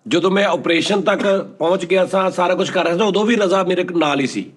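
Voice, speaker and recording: A man who tells stories and explains things in a casual, animated way, now and then dropping his voice. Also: sits high, fast talker. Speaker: storyteller guest, addressed as ustad. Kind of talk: casual storytelling, reading out viewer messages.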